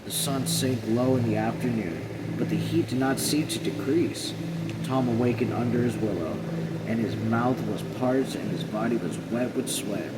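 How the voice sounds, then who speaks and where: A man narrating a novel aloud in a measured, plain manner with occasional stumbles. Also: mid-range voice. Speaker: read-along narrator. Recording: loud steady air or car noise.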